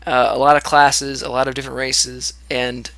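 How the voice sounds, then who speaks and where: A man's voice: medium pitch, flat delivery, normal speed, vocal fry, a bit bright, dry recording. A man co-hosting a casual podcast chat, upbeat and teasing. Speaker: co-host.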